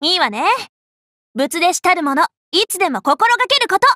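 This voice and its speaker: A woman voicing a cheerful, bossy, scatterbrained young female Buddhist monk in an anime game, boastful and childlike in an expressive, exclamatory way. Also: extremely high pitch, moderate pace, dry recording, studio quality, heavily acted. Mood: eager, instructive. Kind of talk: Japanese anime game character voice lines.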